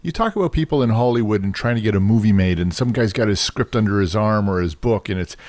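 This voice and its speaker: Casual male host, lively pitch, rambling talker. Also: fast. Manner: animated, rambling, laughs easily. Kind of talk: casual chat.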